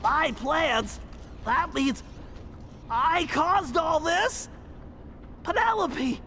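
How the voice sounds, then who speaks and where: Very high, creaky, nerdy turtle. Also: male voice, lively intonation, drawn-out words, pushed and bright, a little hiss or hum, heavily acted. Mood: anguished. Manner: precise, wordy, fussy briefing. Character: nerdy, earnest cartoon turtle mastermind, male, anxious strategist. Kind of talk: cartoon video game acting.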